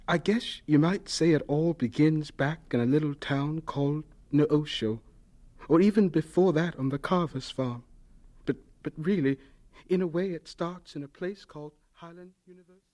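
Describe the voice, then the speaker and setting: A man's voice: on the high side, normal speed, darkish tone, lightly acted. An actor playing an earnest, polite young male cook in a radio drama, deferential yet frank and given to exclamation. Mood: wistful, hesitant.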